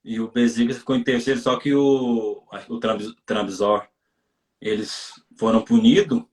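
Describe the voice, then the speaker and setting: A man's voice: medium pitch, fairly even intonation, unhurried. Man, Brazilian accent, casual interview talk, rambling and repetitive, echoey room, distant from the mic. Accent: Brazilian Portuguese. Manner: rambling, repeats himself, many restarts. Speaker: footballer guest. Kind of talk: casual interview over a live stream. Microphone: video call. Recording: roomy and far from the mic.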